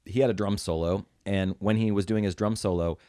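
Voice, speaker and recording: A man's voice: lowish voice, steady tone, brisk, dark tone, no room sound, clean studio sound. A man in his late thirties chatting casually as a podcast host, in a joking, digressive and sweary way. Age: late 30s.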